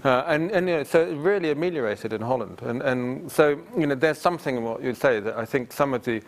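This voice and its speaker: A man's voice: medium pitch, fast talker. Man lecturer fielding audience questions. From England. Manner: hesitant, many fillers, self-deprecating humour. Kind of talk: public lecture Q&A. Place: large lecture theatre with audience.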